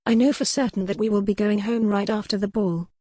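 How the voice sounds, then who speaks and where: A woman's voice: mid-range voice, fairly even intonation, fairly quick, warm tone, dry recording, studio quality, lightly acted. A woman voicing a spirited, poised young lady in a historical romance audiobook. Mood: firm, polite.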